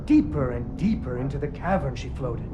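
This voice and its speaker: A woman's voice: rumbling low, animated, normal speed, background noise. A little girl telling a puppet story. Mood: storybook telling. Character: young girl narrating a puppet-show story. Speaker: in-game narrator. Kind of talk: storybook narration. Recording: game audio with music behind.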